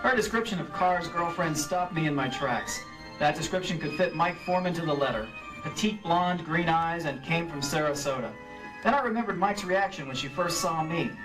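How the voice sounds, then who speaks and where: A man's voice: on the high side, animated, moderate pace, natural voice. A man playing a cool, wry insurance investigator who narrates matter-of-factly in old radio detective style. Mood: matter-of-fact narrating. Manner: hard-boiled, matter-of-fact. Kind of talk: 1940s-50s radio detective acting. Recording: live stage re-creation, distant mic, music.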